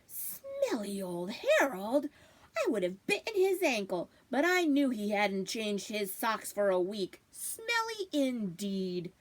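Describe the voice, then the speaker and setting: Woman as a wry dog storyteller, high animated voice. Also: slow pace, heavily acted. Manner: wry, confiding. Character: wry, food-loving family dog narrating his tale.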